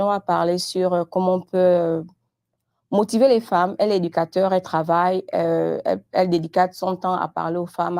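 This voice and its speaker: A woman whose first language is French hosts a live-streamed chat, her voice low and gravelly. Her tone is dark and mellow. She talks unhurriedly, in halting phrases. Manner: halting, unhurried, switches between English and French. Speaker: host of a live show. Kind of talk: casual live-stream interview hosting.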